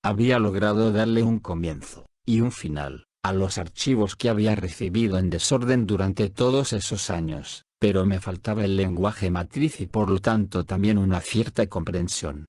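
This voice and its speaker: Deep man reading in a dry voice. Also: normal speed, few pauses, a little mellow, dry recording. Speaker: voice-over reader of a written interview. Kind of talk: steady scripted reading of questions and answers.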